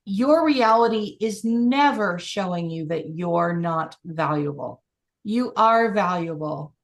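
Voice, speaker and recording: A woman's voice: lowish voice, animated, slow pace. A woman coach leading a group call, speaking in an encouraging, emphatic way.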